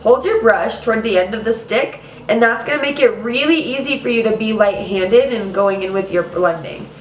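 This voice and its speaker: A woman's voice: a little high, moderate pace, slight background noise. A young woman hosting a makeup tutorial in a casual, chatty way, a little nasal and congested from allergies. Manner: chatty, casual, says "girl" and "you guys". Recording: roomy, mic at some distance.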